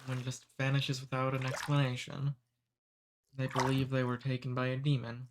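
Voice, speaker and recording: A man voicing a friendly, centuries-old male demon in an ASMR roleplay. He talks calmly and teases gently. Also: medium pitch, moderate pace, background noise, close to an everyday voice. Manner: calm, friendly, gently teasing.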